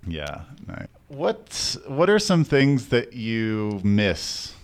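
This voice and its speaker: A man's voice: fairly low pitch, huge pitch swings, drawn-out words, clean tone. An American man interviewing someone in a casual, conversational way.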